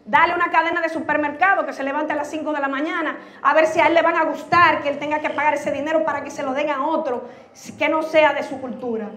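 A woman's voice: high-pitched, steady tone, fast, a bit bright. A middle-aged woman with a Dominican Spanish accent, explaining things emphatically in an informal public talk, speaking into a handheld microphone in a room. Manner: emphatic, explanatory, with rhetorical questions. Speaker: speaker, activist. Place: indoor room at a speaking event. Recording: roomy echo, music underneath.

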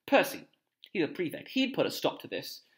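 A storybook reader voicing a bossy, self-righteous schoolgirl who scolds in a shrill, indignant, nagging way. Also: big pitch swings, fairly quick, natural voice.

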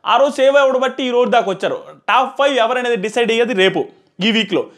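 A man, giving an informal, animated review as he explains and repeats his points into a lapel mic. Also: very high voice, fast. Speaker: reality show reviewer. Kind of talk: informal episode review commentary. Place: small plain indoor room. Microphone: clip-on lapel mic.

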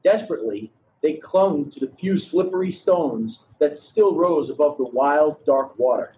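A man's voice: on the high side, expressive, a little slow, mellow. A man co-hosting a children's read-aloud, reading and chatting in the manner of an educator. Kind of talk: storybook reading and friendly chat with children. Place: large meeting room indoors. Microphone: video call, distant from camera. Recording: dull, muffled video-call audio.

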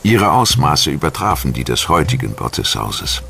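Very deep, gravelly male documentary narrator. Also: very animated, normal speed, bright, forceful tone, slight background noise. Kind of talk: scripted narration.

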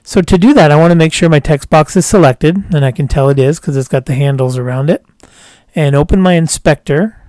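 A man walking through a software tutorial step by step as a screencast voice-over, calm and explanatory, dropping a casual "cool" between steps. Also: on the high side, fairly quick, dry recording.

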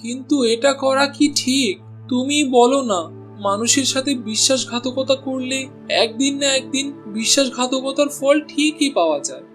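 A man plays a meek, honest cartoon butcher with a high-pitched voice. He sounds earnest and pleading, like a put-upon husband. Soft music runs underneath. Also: normal speed. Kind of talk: Bengali cartoon voice acting.

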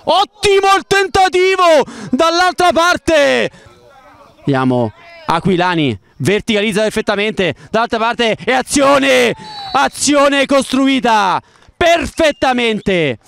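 Man, live football play-by-play, excitable and emphatic, high voice, resonant tone. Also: slow pace, vocal fry, clean tone. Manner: excitable, emphatic, drawing out key words. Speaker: football match commentator. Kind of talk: live play-by-play sports commentary.

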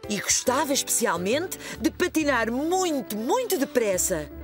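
A woman narrating a preschool cartoon as a gentle storyteller, with a European Portuguese accent. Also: sits high, big pitch swings, measured pace, music in the background. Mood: storytelling. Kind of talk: scripted children's storytelling narration.

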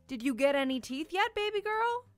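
A woman's voice: high voice, big pitch swings, moderate pace, no room sound, soft background music, pitched above the performer's own voice. A woman voicing a playful, doting father cooing to his small girls in a children's storybook.

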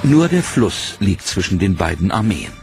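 A man giving scripted, measured documentary narration in a dramatic storytelling manner, over a music bed. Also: low voice, sing-song, vocal fry. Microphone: studio voice-over mic.

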